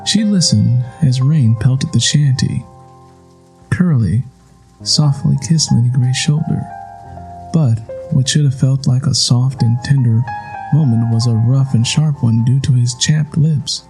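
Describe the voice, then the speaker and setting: A man reading scripted literary fiction as an audiobook narrator, in a measured, solemn storytelling manner. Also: medium pitch, a little mellow, soft background music, low-quality recording.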